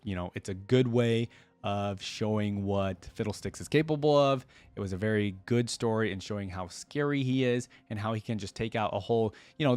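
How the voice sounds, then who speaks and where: Male reactor host, lowish voice, dry close sound, informal and excitable, talks through his thoughts as they come. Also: no room sound. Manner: informal, excitable, thinks out loud. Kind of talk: casual reaction commentary; reads story aloud dramatically.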